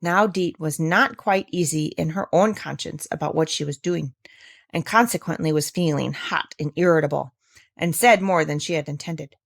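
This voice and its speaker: A woman narrating a scripted children's story in an expressive, careful storytelling manner. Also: sits low, moderate pace, gravelly, dry recording, clean studio sound. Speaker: audiobook reader.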